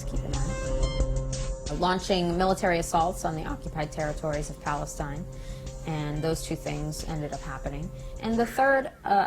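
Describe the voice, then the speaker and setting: An American woman giving earnest, emphatic political commentary as an activist in an interview, phrasing her points deliberately. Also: sits low. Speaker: peace activist being interviewed.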